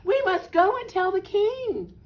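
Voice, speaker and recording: A woman's voice: extremely high pitch, measured pace, voice pushed up. A woman voicing a frantic, gullible female hen in a storybook, crying out in alarm.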